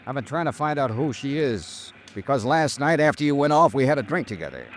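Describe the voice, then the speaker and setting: A man playing a tough, world-weary insurance investigator in a 1950s radio drama, clipped and deadpan. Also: mid-range voice, fairly quick, a little hiss or hum, lightly acted. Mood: firm, impatient. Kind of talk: 1950s radio detective drama acting and narration.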